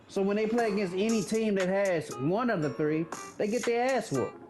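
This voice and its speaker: Thirty-year-old man, high voice, casual blunt gamer commentary over music. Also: normal speed. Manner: laid-back, blunt, swears casually. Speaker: gaming commentator.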